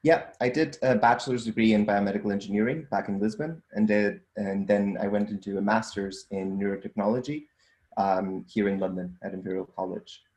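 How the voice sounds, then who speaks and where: Portuguese guy, fairly low voice, thinks out loud with lots of ums, roomy echo. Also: fairly even intonation, a little fast. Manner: thoughtful, with frequent ums and restarts. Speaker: guest, data scientist and entrepreneur. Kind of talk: casual interview answers.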